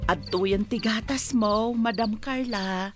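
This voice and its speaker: A woman's voice: fairly high pitch, a little slow, over music, natural voice. A woman acting an emotional, deferential mother and household helper in a radio drama. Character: anguished, pleading mother who serves as a household helper.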